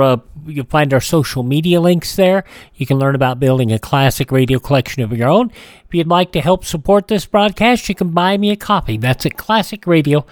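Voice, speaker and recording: A man's voice: on the high side, no echo. A man hosting a classic radio program in a conversational style. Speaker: classic radio show host.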